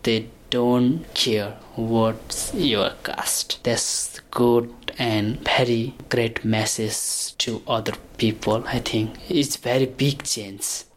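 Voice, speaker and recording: A woman with a Nepali accent, Nepali her first language, answering interview questions in halting English full of fillers. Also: a bass voice, steady tone, slight background noise. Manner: halting, searching for words with many fillers.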